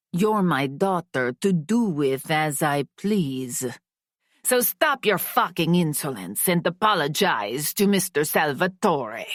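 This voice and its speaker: A woman voicing a cold, cruel middle-aged father who speaks slowly and snarls his threats. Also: deep voice, dry recording, studio quality, heavily acted. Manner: cold, snarling, slow and menacing.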